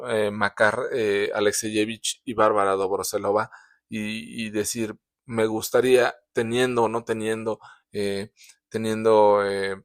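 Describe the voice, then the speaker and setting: Hesitant man, low dry voice. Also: no room sound. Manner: hesitant, many fillers and restarts. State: sore throat, coughing. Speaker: book reviewer, show host. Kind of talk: casual spoken book review.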